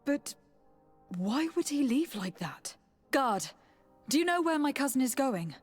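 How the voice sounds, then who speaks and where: A woman's voice: sits high, sing-song, heavily acted. Earnest noblewoman, music behind. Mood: questioning, insistent. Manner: formal, measured, probing. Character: earnest, determined noblewoman diplomat, protective of her cousin. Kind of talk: dramatic video game cutscene acting.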